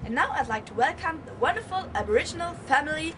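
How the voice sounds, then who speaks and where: A woman's voice: sits high, expressive, fast talker. Teen girl hosting a mock talk show. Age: teens. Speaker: talk show host in a school project. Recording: distant mic with loud background noise.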